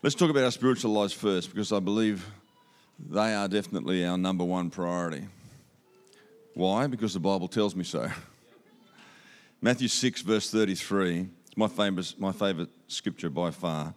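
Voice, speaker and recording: A man's voice: low-pitched, normal speed. A man with an Australian accent giving a church sermon as a guest speaker, upbeat and conversational, repeating key phrases for emphasis. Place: church auditorium. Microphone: handheld microphone.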